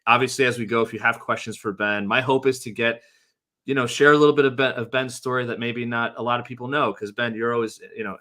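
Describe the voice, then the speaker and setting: A man's voice: mid-range voice, fairly even intonation, quick pace, sharp tone. A man hosting an interview, asking friendly, hesitant questions with many fillers. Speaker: podcast host.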